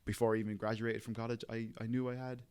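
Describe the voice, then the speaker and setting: A man's voice: medium pitch, flat delivery, quick pace, a little mellow, clear, resonant tone. A man in his thirties with an Irish accent, chatting casually as a podcast guest, rambling with plenty of fillers. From Ireland. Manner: rambling, full of fillers like "you know". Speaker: guest, tattoo artist.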